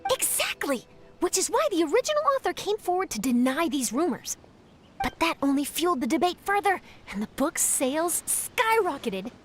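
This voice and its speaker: A woman's voice: very high voice, very animated, normal speed, fairly bright tone, heavily acted. A woman voicing an eager, polite and talkative young female journalist in a video game. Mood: enthusiastic, chatty. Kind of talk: video game character dialogue. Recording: music behind the voice.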